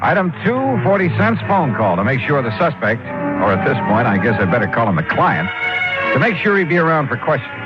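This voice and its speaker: A man's voice: medium pitch, big pitch swings, a little fast, over loud music, lightly acted. An actor playing a wry, streetwise insurance investigator who narrates his case in 1950s radio detective style. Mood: wry, businesslike. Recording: dull old radio recording.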